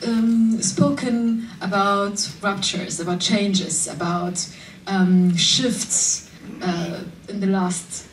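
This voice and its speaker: A woman moderates a panel, setting up her questions at a measured pace with the odd filler. She sounds distant from the mic in a roomy hall, with faint noise in the background. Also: mid-range voice, fairly even intonation. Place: conference hall.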